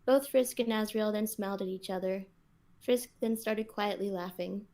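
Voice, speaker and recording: A woman's voice: mid-range voice, normal speed, darkish tone. A woman on a podcast, reading scripted story narration and chatting casually with the group.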